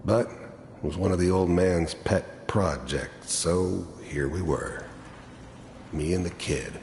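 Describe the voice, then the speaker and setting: An actor playing a cynical, hardened middle-aged mercenary in a video game, with a gravelly voice and a wry, world-weary way of confiding. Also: male voice, slow pace, darkish tone. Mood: wry, resigned. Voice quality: gravelly, very deep. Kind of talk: video game character monologue. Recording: echoey hall reverb with background noise.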